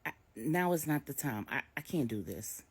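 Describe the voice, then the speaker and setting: A woman's voice: rumbling low, big pitch swings, moderate pace, husky, heavily acted. A woman voicing a defiant, scheming young mistress and mother in a story, stubborn and insistent. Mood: evasive, defensive.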